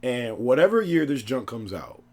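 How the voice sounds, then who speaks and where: A man with a cold, his voice hoarse, chatting excitedly about a video game on his channel, slangy and laughing often. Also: medium pitch, moderate pace. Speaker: gaming YouTuber. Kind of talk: casual gaming chat.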